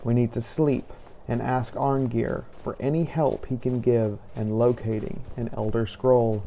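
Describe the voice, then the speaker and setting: A man's voice: medium pitch, vocal fry, warm tone. A man hosting a game playthrough, reading scripted role-play narration as a dramatic storyteller. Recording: faint background noise.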